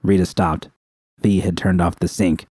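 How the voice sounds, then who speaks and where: A man's voice: deep voice, flat delivery, a little slow, a little mellow, husky, no room sound, clean studio sound. A man reading scripted first-person fiction as an audiobook narrator.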